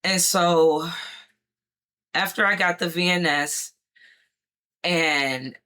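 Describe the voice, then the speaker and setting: Bright-voiced woman, rambling and hoarse. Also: fairly low pitch, slow pace. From Chicago area, United States. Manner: rambling, many fillers and restarts. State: hoarse at times. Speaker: vlogger sharing her experience. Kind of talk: casual personal talk to camera.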